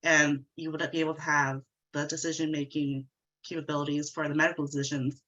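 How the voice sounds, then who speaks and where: A female attorney with a very low but bright, forceful voice, congested from a cold. She explains conversationally as she walks through slides, and her sound comes over a dull, lo-fi call line. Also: level tone, fairly quick. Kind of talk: legal webinar presentation.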